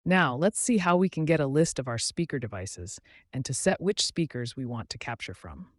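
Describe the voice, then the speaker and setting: A man narrating a scripted tutorial as its host, in a measured, instructional way. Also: medium pitch, lively intonation, dry recording, studio quality.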